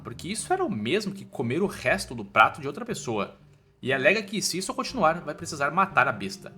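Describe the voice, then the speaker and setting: Bright, animated Brazilian male recap narrator. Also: on the high side. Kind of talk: informal scripted story narration. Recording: music behind the voice.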